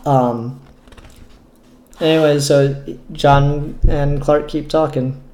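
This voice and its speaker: Higher-voiced man reviewing comics in echoey room. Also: level tone, takes it slowly, darkish tone. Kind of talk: casual review commentary, reading comic dialogue aloud.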